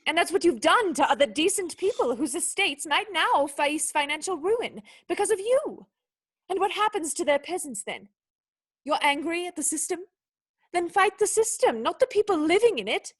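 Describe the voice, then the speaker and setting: An actress playing a proud young noblewoman in a radio play, sharp-tongued, wounded and indignant, with cutting, articulate speech. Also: extremely high pitch, lively intonation, normal speed. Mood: challenging.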